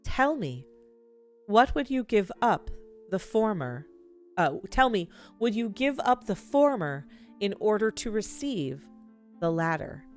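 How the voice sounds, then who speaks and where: Lady doing a solemn old hooded man from a fantasy game, slow n mysterious, music behind. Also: medium pitch, sing-song, darkish tone. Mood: probing.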